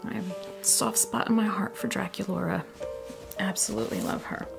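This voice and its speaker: An American woman speaking in a soft, breathy near-whisper, chatting casually and hesitantly in an ASMR style close to a sensitive microphone. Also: medium pitch, moderate pace, over music. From United States. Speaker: ASMR video host. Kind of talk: ASMR, casual unboxing chat.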